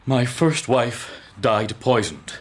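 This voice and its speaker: Proud, secretive gentleman. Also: medium pitch, lively intonation, slow. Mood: bitter, resigned. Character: secretive, bitter, proud Victorian gentleman husband. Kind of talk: period radio drama acting.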